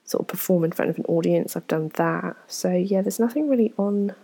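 A woman, answering viewer questions in a frank, self-deprecating, rambling way, full of ums and soft whispered asides. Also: lowish voice, fairly quick, creaky. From England. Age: 20s. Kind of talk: casual Q&A chat to camera.

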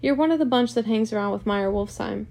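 A creaky-voiced, possessive and brutish rich husband in a storybook drama , read by a woman. He is arrogant and quick to anger, blustering out accusations. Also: fairly high pitch, dark tone. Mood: savage contempt. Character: arrogant, hot-tempered wealthy married man, brutish and possessive.

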